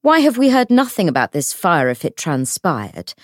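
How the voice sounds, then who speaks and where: A woman's voice: fairly low pitch, big pitch swings, moderate pace, no room sound, clean studio sound. Woman playing a firm, reasoning man. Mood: questioning. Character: sensible man challenging his sister's claims.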